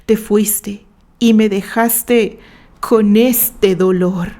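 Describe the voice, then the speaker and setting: A woman voicing an obsessed, bitter gentleman in a dramatic, anguished audiobook confession. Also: medium pitch, fairly even intonation, drawn-out words.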